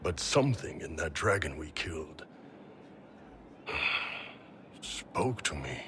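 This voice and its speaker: An actor playing a boisterous, blunt horned warrior with a gravelly voice in a video game cutscene. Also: male voice, a bass voice, lively intonation, background noise, full character voice. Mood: musing. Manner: blunt, unhurried, relishing. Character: boisterous horned Qunari warrior, hearty and dragon-loving.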